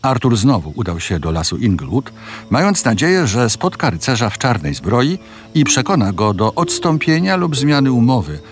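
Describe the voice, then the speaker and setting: A male narrator tells a fairy tale with very varied intonation and a medium pitch. His delivery is measured and dramatic, with soft music behind the voice. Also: big pitch swings. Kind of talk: scripted fairy-tale storytelling.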